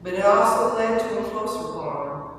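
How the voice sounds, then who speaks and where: Female memorial reader, halting measured delivery, distant in a reverberant hall with faint noise. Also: medium pitch, lively intonation. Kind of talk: scripted memorial reading. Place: large church hall, indoors. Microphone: far from the speaker. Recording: echoey church hall, distant mic, noisy.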